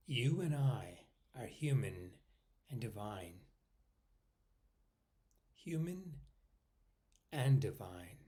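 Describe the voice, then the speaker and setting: Man, meditation guide, slow pace, lively pitch movement, short paused phrases, soft trailing endings. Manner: unhurried, pausing between short phrases, often trailing off softly.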